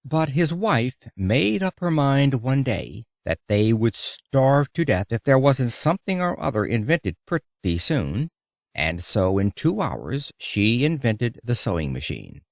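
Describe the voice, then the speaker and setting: A man reading an audiobook of an old-fashioned public lecture in a theatrical, emphatic, oratorical manner with dramatic pauses. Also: mid-range voice, big pitch swings, gravelly, no echo, clean studio sound. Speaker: audiobook reader.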